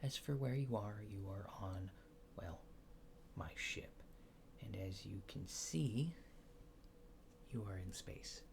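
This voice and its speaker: A man playing a laid-back, faintly apologetic alien fixer in a soft, hesitant, often near-whispered ASMR roleplay. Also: fairly low pitch, slow pace, creaky, slight background noise. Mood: calm, reassuring. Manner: hesitant, understated, dryly matter-of-fact.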